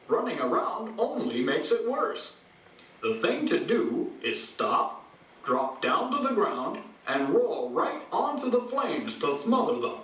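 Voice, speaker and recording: A man's voice: on the high side, fairly bright tone, full character voice. A man voicing an earnest puppet who teaches children safety in an emphatic, scripted puppet show monologue. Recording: echoey playback, far from mic, faint noise.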